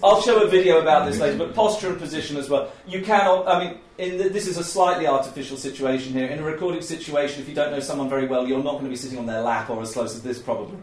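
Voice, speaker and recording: Man, loud voice, workshop trainer, chatty, laughs a lot, acts out quotes, middle pitch, echoey room. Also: very fast, few pauses. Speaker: oral history trainer. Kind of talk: informal workshop talk.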